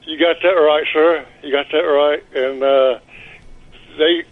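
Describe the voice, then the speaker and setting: A man from Mississippi calls in to a sports radio show over a thin, tinny phone line. His voice is a bit high, and he stops and restarts often, with a polite "yes, sir.". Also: steady tone, takes it slowly. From Biloxi, Mississippi. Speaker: caller. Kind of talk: phone-in chat. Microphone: telephone.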